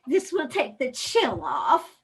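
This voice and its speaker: A woman's voice: sits high, very animated, takes it slowly, heavily acted. A woman playing a fussy, timid old lady in a comic readers theater piece, with a fluttery, genteel, easily upset manner. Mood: timid, uneasy. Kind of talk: comedic readers theater acting.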